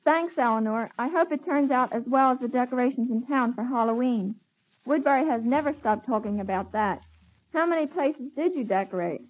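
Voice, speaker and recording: A woman's voice: high voice, fairly quick. A teenage American young woman hosting as student editor, reciting scripted dialogue formally on a dull 1940s radio recording. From United States. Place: live radio broadcast.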